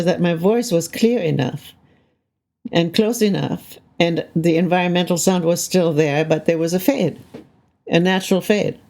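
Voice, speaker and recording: A woman's voice: on the low side, mellow. An older woman, a soundscape composer, being interviewed, speaking thoughtfully and often checking in with "right?". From Canada. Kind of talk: reflective conversational interview.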